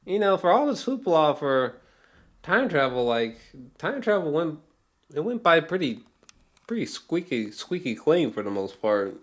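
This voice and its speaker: A man's voice: fairly high pitch, big pitch swings, measured pace. A man giving a casual, rambling film review with geeky, awed enthusiasm, often hesitating, trailing off and swearing casually.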